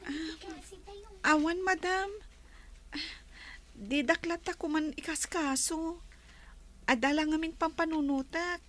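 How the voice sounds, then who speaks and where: A woman's voice: extremely high pitch, steady tone, slow pace, pauses often, a little hiss or hum. An actress playing a meek, kind young orphaned woman in a radio drama, polite, hesitant and plaintive. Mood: subdued, sad.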